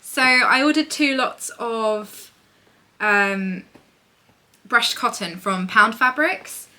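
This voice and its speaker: Woman, 30s, casual vlogger, bright sharp tone, rambling chat. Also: a little high, takes it slowly. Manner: chatty, rambling, upbeat. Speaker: knitting vlogger. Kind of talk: casual chatty vlog.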